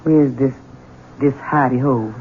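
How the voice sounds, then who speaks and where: A woman's voice: rumbling low, unhurried, a little hiss or hum. An actress playing a long-suffering, bitter and fearful servant woman in a 1970s radio drama. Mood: anxious, subdued. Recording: muffled, narrow vintage radio broadcast.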